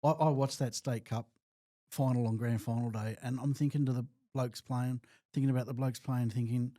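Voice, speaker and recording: A man's voice: medium pitch, fairly even intonation, moderate pace, mellow, no echo, studio quality. A man on a casual sports podcast, reasoning aloud and often restarting his words. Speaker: podcast co-host.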